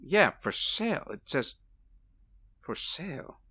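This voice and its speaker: A woman as a low-voiced, confident male lawyer. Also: sing-song, takes it slowly, pushed and bright, husky, heavily acted. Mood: puzzled. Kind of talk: radio play acting.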